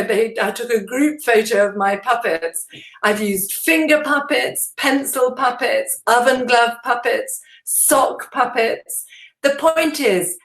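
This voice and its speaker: Slow-paced woman presenting to teachers. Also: fairly high pitch, reverberant. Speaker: teacher trainer presenting a webinar.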